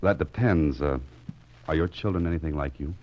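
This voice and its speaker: An actor playing a wry, tough private investigator in 1940s radio style, with dry, understated delivery. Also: male voice, rumbling low, moderate pace, darkish tone. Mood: evasive, wry. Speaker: actor playing the lead detective. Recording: muffled vintage radio recording with music.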